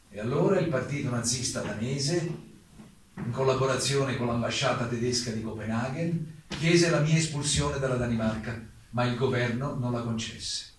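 A man playing an exiled German playwright giving a formal defence statement in a solemn, deliberate, rhetorical stage delivery. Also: mid-range voice, natural voice. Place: theatre stage, recorded from afar. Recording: very echoey distant stage recording, faint noise.

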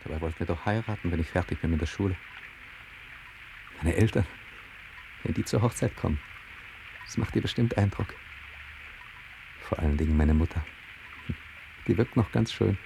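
Sulky young man, very creaky low voice, muttering radio-play monologue, faint noise behind. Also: moderate pace, long pauses. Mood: dreamy, musing. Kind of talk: German radio play acting, inner monologue. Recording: faint background noise and ambience.

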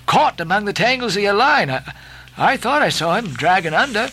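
A man voicing a rough, blunt sailor giving a report to his captain. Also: high-pitched, very animated, normal speed, bright, forceful tone, exaggerated voice. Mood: uneasy.

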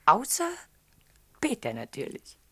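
A woman playing a sweet, grateful, quietly self-assured young wife in a German radio play. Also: fairly low pitch, wildly swooping pitch, measured pace, husky, full character voice. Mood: affectionate.

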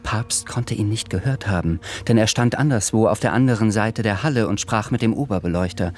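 Calm low-voiced male narrator. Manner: calm, measured storytelling. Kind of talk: scripted narration in a radio drama.

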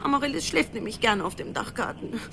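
An actress in a radio play playing an emotional, exasperated but caring friend who speaks haltingly, scolding and soothing. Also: female voice, a little high, animated, gravelly, background noise. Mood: upset.

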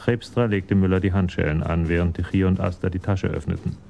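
A man's voice: sits low, little pitch movement, fast talker, vocal fry, mellow, slight background noise. A man narrating a crime drama from a script.

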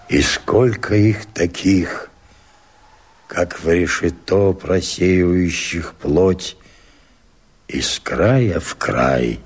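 An older man, an actor playing a weary, solemn old watchman who slowly declaims verse in a radio play, brooding and husky-voiced. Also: low voice, full character voice. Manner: slow, declamatory, pensive.

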